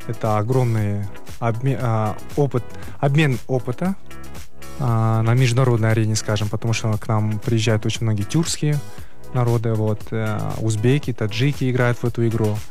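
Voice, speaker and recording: A man from Kyrgyzstan, speaking as an athlete guest in a casual interview, explaining things haltingly with many hesitations. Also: fairly low pitch, fairly even intonation, a little mellow, faint music behind. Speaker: athlete guest, player of a traditional horseback sport.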